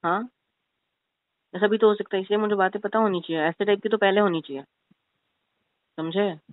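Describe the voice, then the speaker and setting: A man's voice: high voice, steady tone, a little fast, lightly acted. A man acts as a young boyfriend in a phone-call drama, possessive and insistent, yet placating. The sound is narrow like a telephone, with no room echo. He speaks in an everyday conversational way. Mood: suspicious. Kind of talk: phone-call couple roleplay, conversational Hindi-English. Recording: thin, phone-like call audio.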